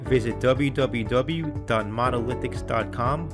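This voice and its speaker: A man, a sales and applications engineer, giving a measured scripted voice-over that explains technical slides and software. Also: mid-range voice, over music. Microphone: voice-over over slides and software screens.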